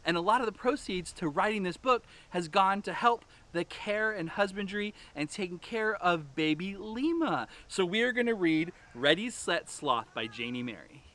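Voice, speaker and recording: Bright, high-pitched man presenting casually. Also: expressive, moderate pace, few pauses. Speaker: presenter at an animal sanctuary. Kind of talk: casual introduction to a story reading.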